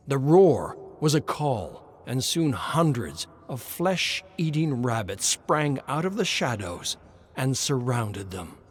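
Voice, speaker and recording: A man reading a scripted horror story as its narrator. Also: mid-range voice.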